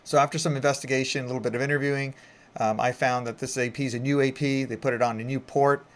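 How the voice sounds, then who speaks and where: A man with a mid-pitched voice narrates a technical walkthrough as an instructor. He speaks in an informal, conversational way. He explains each step as he goes, in the style of a casual screen-recorded tutorial. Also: fairly even intonation, quick pace. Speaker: IT instructor and presenter.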